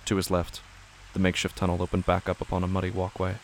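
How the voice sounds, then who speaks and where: A man narrating a scripted horror story in a hushed, deliberate, brooding, gravelly manner. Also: low-pitched, monotone, a little hiss or hum.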